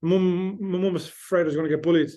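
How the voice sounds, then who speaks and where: A man's voice: sits high, steady tone, quick pace, warm tone. A middle-aged man born in the Netherlands, with an Irish accent that keeps a trace of Dutch. He talks in a direct, wry way as a podcast guest, with frequent ums and restarts. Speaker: podcast guest, host's husband. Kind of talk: casual conversation.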